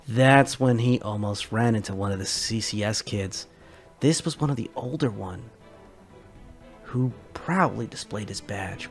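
A man narrating a science fiction short story as a dramatic reading, measured and expressive. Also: mid-range voice.

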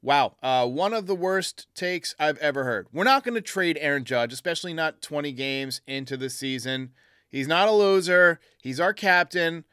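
A man's voice: on the high side, lively intonation, moderate pace, bright, forceful tone, clean tone, no echo, clean studio sound. A man hosting a fan call-in sports show, giving blunt, emphatic opinions in a casual way.

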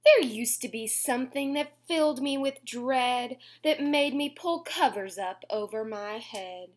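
A woman in her twenties reading a picture book aloud at home, expressively and clearly phrased for young children. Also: fairly high pitch, unhurried. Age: 20s. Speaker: children's story reader. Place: small room at home, indoors.

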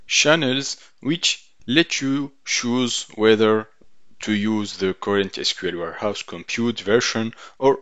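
Male instructor, slow and halting tutorial read, dry lo-fi voice-over. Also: fairly low pitch, fairly bright tone, no echo. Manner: halting, reads with ums and uhs.

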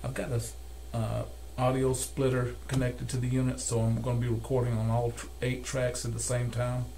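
An older man talks through a technical demonstration step by step. His voice is on the low side of medium with a fair amount of fry and gravel. He is recorded in a workshop with clear background noise. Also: monotone, normal speed, dark tone. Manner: methodical, step-by-step explaining. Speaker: repair technician demonstrating calibration. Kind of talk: hands-on tutorial narration. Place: cluttered indoor electronics workshop. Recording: noisy workshop room recording.

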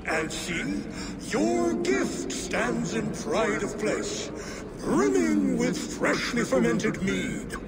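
Aged frost giant king, made for a video game. He is grand and commanding, with an unusually high, wildly swinging voice. He speaks slowly and imperiously over loud ambient noise. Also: very animated, exaggerated voice. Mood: proud, welcoming. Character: old bearded frost giant king, boisterous, imperious host. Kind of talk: video game cutscene acting.

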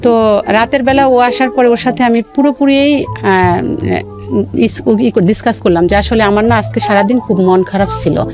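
Female interview guest, Bangladeshi accent, higher-pitched, detailed and halting personal storyteller, clear music bed underneath. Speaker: guest telling own life story. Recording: music playing behind the voice. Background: background music.

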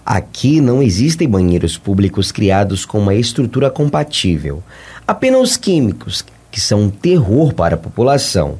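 A man's voice: lowish voice, very animated, moderate pace, a little mellow, a little hiss or hum. A Brazilian man reading a scripted voice-over for a TV news report.

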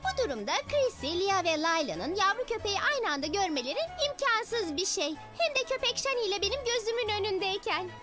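A woman voicing a confident, determined young girl leader in a children's cartoon, decisive and expressive. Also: very high voice, brisk, few pauses. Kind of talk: animated children's cartoon dubbing. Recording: music behind the voice.

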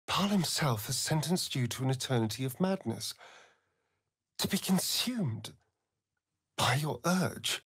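A man with a British accent and a breathy voice playing a vain, sardonic, flirtatious vampire spawn in a video game, with an arch, mocking drawl. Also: a little high, no echo, studio quality, heavily acted. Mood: seductive, ominous. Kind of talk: theatrical video game character acting.

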